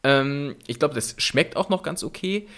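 A man's voice: on the high side, expressive, unhurried, fairly bright tone. A young man co-hosting a casual podcast, talking loosely with frequent stammers and repeated words, often laughing as he speaks. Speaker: podcast co-host.

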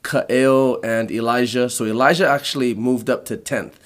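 A man's voice: mid-range voice, fairly even intonation, normal speed. A man in his forties hosting a casual podcast, rambling a little and restarting phrases as he lays out the show. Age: 40s. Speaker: podcast host.

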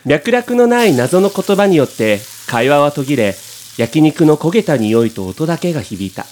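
A man's voice: fairly high pitch, sing-song, moderate pace, a little mellow, slight background noise. A man reading scripted narration for a comic radio drama.

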